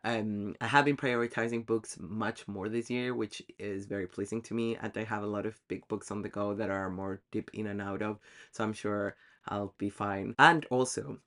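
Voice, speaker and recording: A man's voice: on the low side, fairly even intonation, moderate pace, a bit bright. A man talking casually to camera about his reading goals, chatty and self-deprecating, with frequent ums. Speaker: book vlogger.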